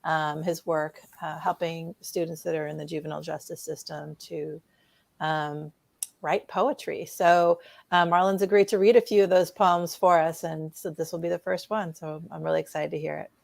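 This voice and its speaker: A woman's voice: sits low, fairly even intonation, moderate pace, creaky, dry recording. A woman hosting an interview show in a conversational style. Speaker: show host.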